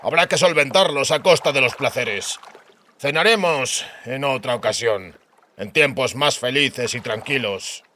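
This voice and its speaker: A man's voice: medium pitch, a little slow, sharp tone, a little hiss or hum, pitched above the performer's own voice. A man voicing a sardonic spymaster count in a dramatized fantasy audiobook, speaking with cool, formal politeness. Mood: coolly polite.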